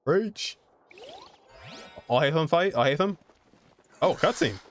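A man's voice: a little high, animated, slow, faint music behind. A young man streaming casual game reactions in short, muttered exclamations. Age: young adult. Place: indoor room.

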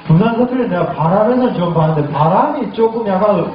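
A man's voice: high voice, expressive, a little fast, faint music behind. A young man with a Daegu accent chatting hesitantly about dialects, full of fillers and small laughs. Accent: Daegu Gyeongsang dialect. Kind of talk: casual chat about dialects. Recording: echoey and dull.

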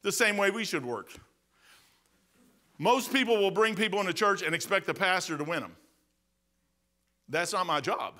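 Middle-aged male pastor, high bright voice, emphatic and conversational, church sanctuary. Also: expressive, normal speed, pauses often. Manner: emphatic, conversational preaching with repetitions and restarts. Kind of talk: sermon. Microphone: pulpit microphone, far camera.

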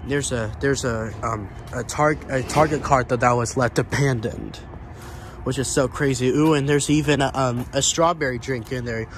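A man's voice: mid-range voice, fairly even intonation, moderate pace. An American man giving a casual walking tour as a vlogger, rambling and repeating himself as he goes. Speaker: vlogger touring abandoned buildings. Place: outdoors, walking around a building and parking lot. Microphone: handheld camera or phone. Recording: outdoor handheld recording with clear ambient noise.

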